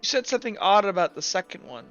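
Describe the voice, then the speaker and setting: A man voicing a stern but caring female military commander in a tabletop game, speaking in a hesitant, measured, grave way. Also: high voice, very animated, a bit bright, smooth, lo-fi sound, soft background music, voice pushed up. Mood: concerned, questioning.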